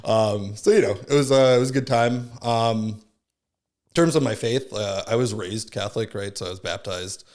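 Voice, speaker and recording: A man's voice: fairly low pitch, normal speed, a little mellow. A man in his thirties from Southern California, a podcast guest telling his story in a rambling, casual way full of fillers. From Southern California, born in Finland. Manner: rambling, many fillers like "like" and "right?". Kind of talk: casual reflective chat.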